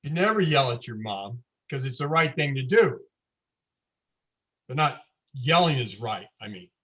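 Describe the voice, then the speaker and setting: Man as an excitable, bright-voiced blustering dad. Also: mid-range voice, a little slow. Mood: good-natured. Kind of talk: live table read of a screenplay.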